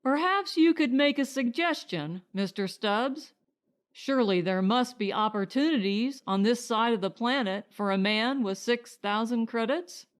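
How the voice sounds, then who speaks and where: A woman's voice: a little high, lively intonation, unhurried. A woman voicing a principled, blunt husband in an audiobook, speaking firmly and pointedly. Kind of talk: audiobook character dialogue.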